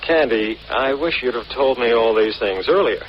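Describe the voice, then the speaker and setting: A man's voice: mid-range voice, expressive, moderate pace, close to an everyday voice. A man acts an earnest companion in a golden-age radio mystery, questioning with a serious air. The recording is dull old radio with faint noise. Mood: reproachful, serious. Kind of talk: old-time radio detective drama acting.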